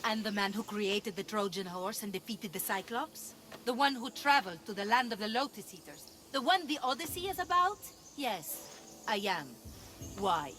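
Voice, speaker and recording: A woman's voice: mid-range voice, animated, moderate pace, fairly bright tone, lightly acted. A woman voicing a proud, spirited young pilgrim in a cinematic video game, self-assured and passionate. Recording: outdoor ambience noise behind voice. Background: ambient outdoor noise.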